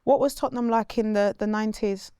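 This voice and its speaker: A woman's voice: medium pitch, fairly even intonation, fast talker, mellow, clean tone, dry recording, clean studio sound. A woman with a London accent hosting a podcast, asking questions in a casual, chatty interview style.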